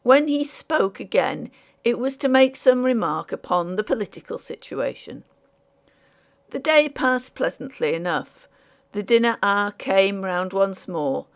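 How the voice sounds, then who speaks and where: A woman narrating an audiobook story in a measured, formal storytelling manner. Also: mid-range voice, sing-song, vocal fry.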